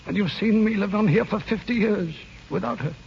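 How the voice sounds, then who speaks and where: An actor playing a stern, grieving, unyielding old uncle in an old radio drama, speaking with halting emotion. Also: male voice, high-pitched, vocal fry. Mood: anguished anger. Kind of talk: 1930s-40s radio drama acting. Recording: dull, noisy old radio broadcast.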